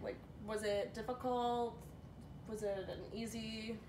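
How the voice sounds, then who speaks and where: A young woman hosting an interview, asking questions and encouraging her guest with quick affirmations. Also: a little high, fairly even intonation, background noise. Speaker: host, interviewer. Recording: echoey room.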